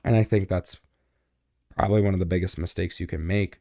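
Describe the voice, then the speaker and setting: A young man co-hosting a podcast in casual chat, joking and informal, with a boomy voice close to the microphone. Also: steady tone, fairly quick, vocal fry, dark tone, no room sound. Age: young adult. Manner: joking, informal, self-interrupting. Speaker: podcast co-host.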